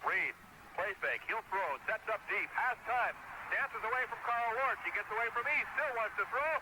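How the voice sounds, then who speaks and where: A man's voice: high-pitched, animated, normal speed, background noise. A man with an American accent calling live football play-by-play in clipped, descriptive phrases on a 1970s radio broadcast.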